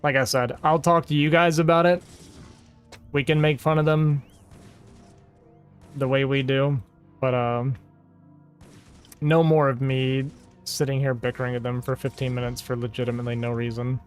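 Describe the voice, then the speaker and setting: A man's voice: mid-range voice, level tone, moderate pace, long pauses, smooth, faint music behind. A man streaming games who chats casually with his viewers, rambling and exasperated and saying "like" a lot. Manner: rambling and exasperated, heavy use of "like". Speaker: game streamer. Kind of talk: casual livestream chat with viewers.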